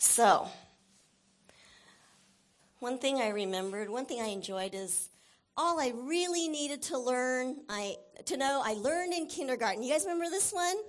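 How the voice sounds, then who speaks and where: A woman giving a casual, enthusiastic talk to a church congregation, encouraging and emphatic, often laughing and sometimes getting loud. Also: high voice, unhurried.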